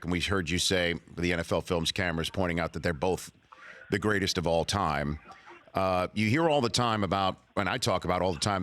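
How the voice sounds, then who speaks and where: A man's voice: sits low, fairly bright tone. A man hosting a sports talk show, asking eager questions and often repeating words as he starts them. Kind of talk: radio interview.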